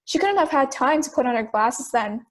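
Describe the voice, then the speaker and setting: A young woman acting a calm, persistent juror in an audio drama. The voice is high, with a deliberate, questioning delivery that reasons step by step. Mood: reasoning.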